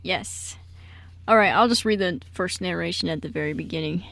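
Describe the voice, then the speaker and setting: A woman's voice: lowish voice, normal speed, vocal fry, fairly bright tone, no echo. A young woman co-hosting a radio show in a casual, giggly, informal way. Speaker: radio show host and script writer. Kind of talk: casual chat. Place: radio studio.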